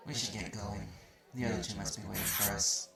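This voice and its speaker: A man's voice: fairly low pitch, fairly even intonation, a little fast, creaky, roomy sound. A man playing a haughty, slightly mean magic-university student in an audio roleplay, explaining things in a curt, condescending way. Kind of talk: audio roleplay acting addressed to the listener. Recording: noisy recording with clicks.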